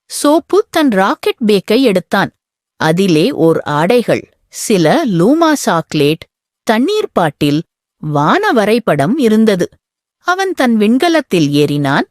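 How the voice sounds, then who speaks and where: A woman narrating a children's bedtime story in a gentle, expressive storytelling manner. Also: medium pitch, slow, dry recording, studio quality.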